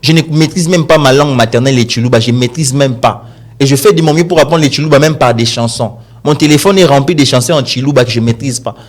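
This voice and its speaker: A man's voice: medium pitch, quick pace. A man from DR Congo with a Congolese accent, a guest in a casual studio chat, rambling and telling earnest stories.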